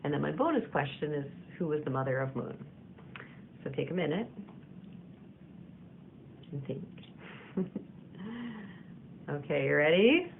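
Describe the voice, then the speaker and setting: A woman author posing quiz questions to the listener in a relaxed, conversational way. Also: deep voice. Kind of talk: casual video message. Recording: gravelly phone-like audio with faint hiss.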